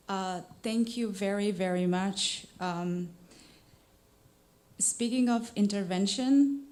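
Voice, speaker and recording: A woman's voice: mid-range voice, a little mellow. A young woman from Afghanistan, speaking earnestly from the audience at a public talk. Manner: earnest, grateful, rising in emphasis. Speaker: audience member. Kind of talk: speaking at a public question time.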